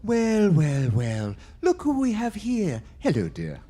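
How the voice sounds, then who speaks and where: A man's voice: high-pitched, huge pitch swings, takes it slowly, dark tone, exaggerated voice. A man playing a fussy, theatrical department store Jack Frost, effusive and melodramatic, in old-time radio drama style. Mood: jolly, kindly. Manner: effusive, melodramatic, peppered with endearments. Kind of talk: 1940s radio drama acting.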